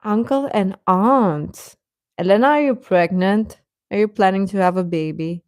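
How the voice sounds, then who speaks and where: Female livestream host: casual, playful, unhurried delivery, mid pitch, dry sound. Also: darkish tone, dry recording. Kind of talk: casual chat with live viewers.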